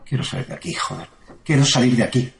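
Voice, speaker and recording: A man's voice: mid-range voice, animated, fast, gravelly, a little hiss or hum. A man from playing a frightened patient in a psychiatric ward who sounds anxious and shaken. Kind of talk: audio drama acting. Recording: roomy echo.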